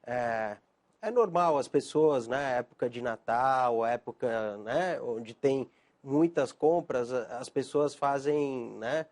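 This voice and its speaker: A man's voice: medium pitch, takes it slowly, darkish tone. A man from Argentina, a psychiatrist guest on a TV show, answering hesitantly with many fillers. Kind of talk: TV interview answers.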